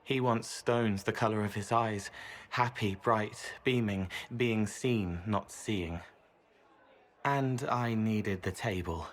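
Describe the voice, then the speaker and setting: A gentle, otherworldly young man in a fantasy game. He speaks very slowly in halting poetic fragments. His voice sits a little low, dreamy and kind. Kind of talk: video game voice acting.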